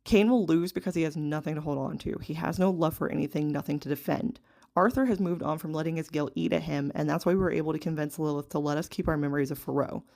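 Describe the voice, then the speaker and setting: Listener voice message, gravelly fry, mid pitch, scripted argument delivery. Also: fairly even intonation, fast talker, a little mellow. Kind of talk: prepared argument read aloud.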